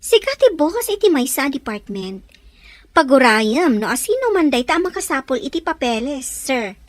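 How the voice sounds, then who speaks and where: A woman's voice: high voice, sing-song, normal speed, dry recording. A woman playing a cheerful young secretary who giggles often and talks playfully. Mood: polite. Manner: bubbly, giggly.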